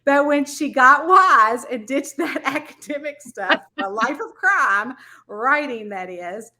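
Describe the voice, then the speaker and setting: A woman's voice: high-pitched, lively intonation, takes it slowly, pushed and bright. A woman with a Southern US accent hosting a podcast interview, bubbly and gushing, laughing often. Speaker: podcast host. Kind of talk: casual interview chat.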